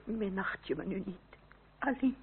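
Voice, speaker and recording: A woman's voice: mid-range voice, moderate pace, lightly acted. An actress playing a timid, anguished noble mother in an old radio melodrama, faltering and pleading. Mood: sorrowful. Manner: faltering, pleading, breathless exclamations. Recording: old radio recording, phone-like, faint noise.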